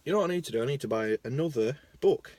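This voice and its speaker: A young man with a Lancashire accent, rambling casually into his phone in a parked car, self-mocking, jumping between loud bursts and mumbled asides. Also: medium pitch, animated, rapid-fire, no room sound. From Lancashire, England. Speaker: vlogger.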